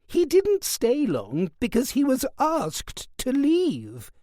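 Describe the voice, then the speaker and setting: Fierce old aunt: high, swooping, acid and indignant. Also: male voice, wildly swooping pitch, slow, mellow, dry recording, clean studio sound, heavily acted. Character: sharp-tongued elderly aunt, fierce and scornful.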